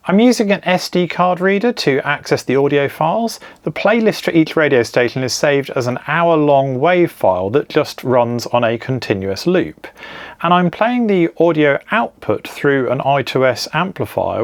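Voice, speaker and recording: A man calmly explaining an electronics build step by step, in a clear, methodical narration. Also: medium pitch. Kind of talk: scripted technical narration.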